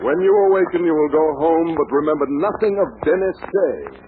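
A man playing a psychiatrist in a 1940s radio comedy, giving slow, drawn-out hypnotic commands. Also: fairly high pitch, very animated. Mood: hypnotic, commanding. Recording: tinny, noisy 1940s radio recording.

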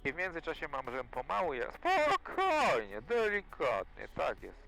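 A man working as a flight instructor, coaching calmly in an encouraging, repetitive way inside a small aircraft. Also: fairly high pitch, normal speed, vocal fry, low-quality recording. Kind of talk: hands-on coaching during flight. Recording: music behind, aircraft cabin audio.

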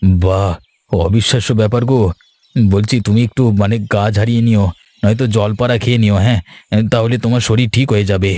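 A man's voice: lowish voice, fairly even intonation, a little fast, dark tone, lightly acted. A man voicing a curious, concerned village neighbour in a cartoon, full of questions, with music behind. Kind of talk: Bengali cartoon voice acting.

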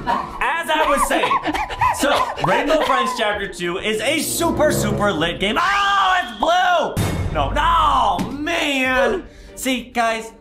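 A young man with a high, animated voice hosts a gaming video for kids. He is excitable and theatrical, often shouting. He is recorded in an echoey room over music. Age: 20s. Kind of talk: exaggerated kids' gaming commentary. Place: small room at home, indoors. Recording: roomy echo with loud background music.

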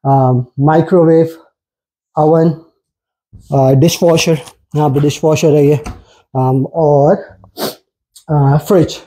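Casual vlogger man, thirties, mellow slightly breathy voice, unhurried house-tour patter. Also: on the high side, rough recording. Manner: hesitant, many drawn-out fillers, mixes English words. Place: indoor townhouse rooms and garage.

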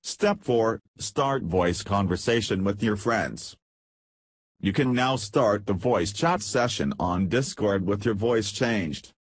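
A man narrating a scripted software tutorial in a flat, read-aloud instructional way. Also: lowish voice, slow pace, slightly rough, no echo.

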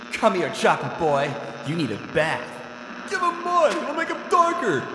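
A man's voice: sits high, full character voice. A man voicing cruel, jeering male bullies in a cartoon memory, recorded with echo and noise. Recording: echoing hall, loud noise behind.

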